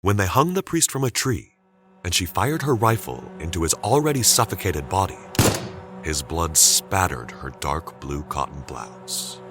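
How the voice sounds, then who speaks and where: A man narrating a scripted dramatic story deliberately, with suspenseful pauses. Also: deep voice, big pitch swings, over music.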